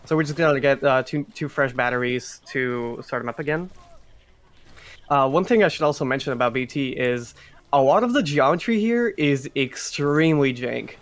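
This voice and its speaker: A man giving live explanatory speedrun commentary, halting and often repeating words as he explains. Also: medium pitch.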